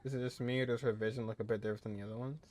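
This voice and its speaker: A man's voice: medium pitch, steady tone, rapid-fire, a little mellow. A man doing casual gameplay commentary and reading dialogue choices aloud as he plays. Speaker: gamer playing through a story quest. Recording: game music behind the voice.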